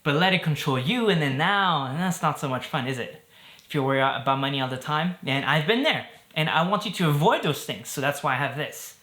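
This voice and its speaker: A man's voice: fairly high pitch, fairly quick, bright, forceful tone, echoey room. A man from Belgium in his thirties, hosting a casual talk to camera in an upbeat, chatty way. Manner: upbeat and chatty, with fillers and jokes. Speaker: host.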